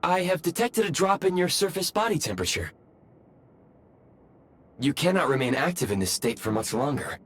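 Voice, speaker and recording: A man's voice: on the high side, big pitch swings, a little hiss or hum. A man voicing an earnest, formal, newly awakened ancient robot guide in a video game, with precise, dutiful phrasing. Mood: polite, dutiful. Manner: formal, precise phrasing with halting self-corrections. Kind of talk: scripted game voice acting. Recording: background music under the voice.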